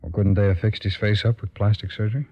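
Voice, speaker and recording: A kindly, matter-of-fact detective from an old radio play. His voice is very low and brisk, heard on a dull recording. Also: fairly even intonation. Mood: steady, sympathetic. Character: steady, sympathetic male police detective. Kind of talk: old-time radio drama acting.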